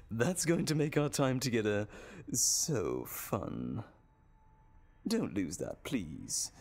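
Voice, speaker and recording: A villainous mad doctor for an audio drama, gleeful and genteel. His deep, creaky voice swoops wildly as he savors each cruel phrase, with faint noise underneath. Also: full character voice. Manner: genteel, theatrical, relishing every word. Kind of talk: dramatic audio roleplay acting.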